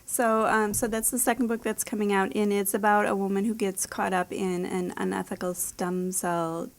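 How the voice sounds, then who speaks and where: A woman with a noticeably creaky, gravelly voice at a middle pitch. She speaks as an interview guest in a casual, explanatory way, like an author describing her work. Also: little pitch movement, moderate pace.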